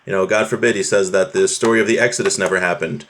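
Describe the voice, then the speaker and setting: A man with a fairly low voice leads an informal Torah class. He reads from a text and explains it in a conversational way, turning often to his listeners to check they follow. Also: fairly even intonation, fast, fairly bright tone, slight background noise. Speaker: religious teacher giving a class.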